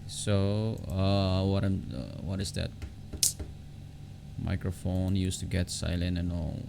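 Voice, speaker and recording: A low-pitched man walks through a software tutorial off the cuff. His voice has a gravelly creak, and he halts and repeats phrases as he goes. There is clear background noise on the recording. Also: steady tone. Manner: halting, repetitive, often restarting phrases. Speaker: tutorial host.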